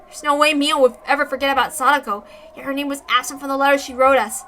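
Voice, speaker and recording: A woman's voice: high-pitched, steady tone, fast talker, pushed and bright, slight background noise. A woman reading a first-person story aloud as narration. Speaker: reader of a visual novel story.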